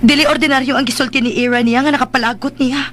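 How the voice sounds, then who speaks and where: A determined young woman in a Filipino radio soap. Her very high voice carries suspicion as she reasons things out. A faint noise runs underneath. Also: steady tone, fast talker, a bit bright. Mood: suspicious musing. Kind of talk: Filipino radio drama acting.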